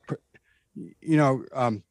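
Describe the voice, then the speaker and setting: A man with a bass voice, a guest earnestly recounting a spiritual experience in conversation, often repeating himself. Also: slow, no room sound. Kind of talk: conversational storytelling.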